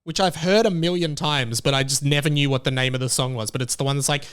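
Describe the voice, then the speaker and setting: A man hosting his own podcast, chatting casually on his own in an animated, rambling way, often restarting sentences and sounding openly incredulous. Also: fairly high pitch, fast talker, clear, resonant tone. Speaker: podcast host. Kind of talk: casual solo podcast chat.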